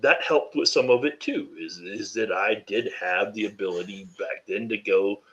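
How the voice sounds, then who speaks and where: A deep-voiced man from North Carolina, a podcast guest speaking candidly and reflectively, with frequent "you know" fillers. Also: moderate pace, a bit bright, dull audio. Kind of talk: candid interview conversation.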